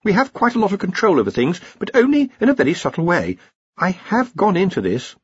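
Man as an earnest, high-pitched young wizard boffin. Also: huge pitch swings, brisk, husky, dry recording, muffled recording, heavily acted. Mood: apologetic. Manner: deferential, hesitant, explanatory. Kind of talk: audiobook character voice.

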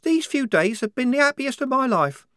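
A man's voice: very high-pitched, big pitch swings, moderate pace, bright, forceful tone, no echo, clean studio sound, pitched above the performer's own voice, heavily acted. A man reading an audiobook, voicing a naive, devout nineteen-year-old woman soldier who speaks with fervent earnestness.

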